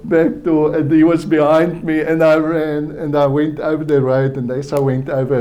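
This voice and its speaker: A man from South Africa, native Afrikaans speaker with a South African accent, telling a personal story at a conference in a halting, reflective way. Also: medium pitch, mellow. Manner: slow, halting, reflective with frequent restarts. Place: conference hall.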